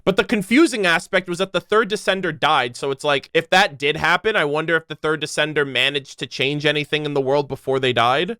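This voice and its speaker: Youngish guy streamer, highish voice, real bright n clear, rambly off the cuff commentary. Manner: rambling, thinking aloud. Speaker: gaming reaction streamer. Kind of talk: casual unscripted lore commentary.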